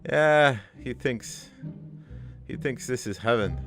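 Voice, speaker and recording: A man playing a crude but wistful old dead father in a cartoon, rambling through his life story in a halting, gravelly, improvised monologue. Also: medium pitch, expressive, fairly bright tone, faint music behind. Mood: wry, resigned. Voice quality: gravelly, creaky.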